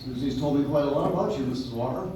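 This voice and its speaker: A man playing a friendly, slightly bashful gentleman neighbour in a live staged radio play. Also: mid-range voice, fast talker, lightly acted. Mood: frank, friendly. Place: live stage in a coffee house. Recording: echoey, noisy audience recording from far off.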